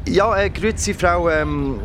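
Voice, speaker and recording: A man's voice: high voice, unhurried, noisy background. An actor with a Swiss German accent playing a flustered, stammering young father in panic, in a naturalistic radio play. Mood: panicked. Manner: stammering, hesitant, flustered, swearing. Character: frazzled young father, an electrician in panic over missing son.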